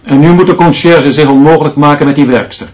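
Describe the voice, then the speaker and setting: A man's voice: a little high, quick pace, a little mellow, muffled recording, natural voice. An actor playing a sceptical male listener in a radio play, putting firm questions in conversation.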